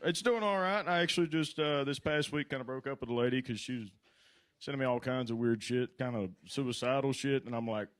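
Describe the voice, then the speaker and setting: A man with a Southern US accent, chatting on a comedy stage in a folksy, self-deprecating, unhurried way. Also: medium pitch. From Kentucky, USA. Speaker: amateur comic being interviewed on stage. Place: live comedy show stage.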